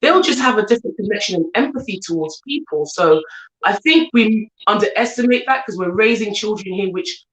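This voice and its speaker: An earnest woman in her thirties speaks with a London accent. Her voice sits at a middle pitch and echoes around the room she is in. She talks in a loose, chatty way, full of "like" and "do you see what I'm saying". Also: animated, fairly quick. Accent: London English. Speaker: guest, coach and entrepreneur. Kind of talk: casual chat.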